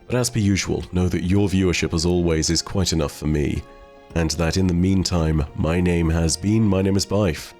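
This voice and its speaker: A man's voice: low voice, steady tone, normal speed, darkish tone, faint music behind. A man hosting a gaming lore video, talking to viewers in a conversational commentary style. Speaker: host of a game lore video channel.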